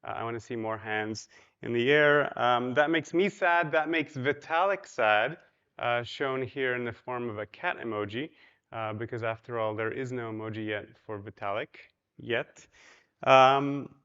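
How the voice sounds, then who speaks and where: A man's voice: mid-range voice, unhurried, fairly bright tone, clean tone, muffled recording. A man from Poland giving a casual, chatty conference talk, with many ums and you-knows, and questions put to the audience. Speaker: conference speaker. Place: large hotel ballroom.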